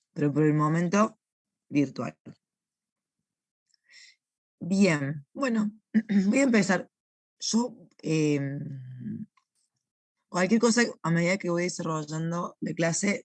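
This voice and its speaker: A young woman lectures into a recorded online class, her voice hoarse and a little rough from a lingering cough. She explains things patiently and keeps checking that everyone is following. The sound is dry and close, with no room echo. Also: low voice. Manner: patient, repeats points and checks in with "¿sí?". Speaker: university teaching assistant.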